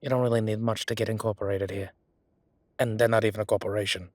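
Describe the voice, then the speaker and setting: A man acts out a chatty male records clerk in a roleplay audio drama. His voice sits fairly low and sounds very dry, with no room around it. He rambles along with a sardonic, rule-bending air and mock innocence. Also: fairly even intonation, fast talker, no echo, lightly acted. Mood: chatty, wry. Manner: rambling, wry, exaggerated feigned innocence.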